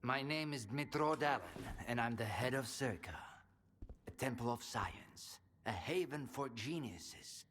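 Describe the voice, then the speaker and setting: An actor playing an earnest, grandiose scientist in a video game, speaking in lofty, pleading phrases. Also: male voice, medium pitch, animated, unhurried, fairly bright tone, in a large hall, slight background noise. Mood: solemn, grandiose. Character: grandiose, anxious male scientist, visionary head of an institute.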